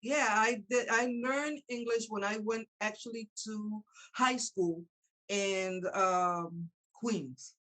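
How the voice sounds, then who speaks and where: A woman's voice: mid-range voice, fairly even intonation, slow. Host, middle-aged woman, Spanish accent, native Spanish speaker, chatty and affirming. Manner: chatty, warm-hearted affirmations, frequent laughter. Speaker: interview host. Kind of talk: casual interview chat.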